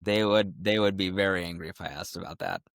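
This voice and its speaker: A man's voice: sits low, fairly even intonation, fast, gravelly, fairly bright tone, no echo, studio quality. A man co-hosting a sports podcast in casual banter, joking and laughing often, a little under the weather. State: sick, recovering from illness. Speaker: podcast co-host.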